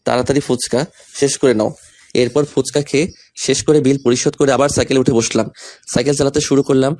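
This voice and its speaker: A man's voice: mid-range voice, steady tone, fairly quick, darkish tone. A young man reading a scripted first-person romantic story, voicing the male lead's lines as well. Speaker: story narrator and male lead.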